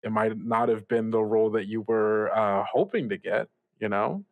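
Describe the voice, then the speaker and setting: A man playing a reassuring older radio mentor in an improvised audio drama, giving gentle advice in a halting, rambling way. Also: lowish voice, no echo, phone-quality sound, natural voice. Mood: encouraging. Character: reassuring older male radio mentor, upbeat, evasive about troubles. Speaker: student radio show host. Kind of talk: improvised actual-play audio drama, conversational.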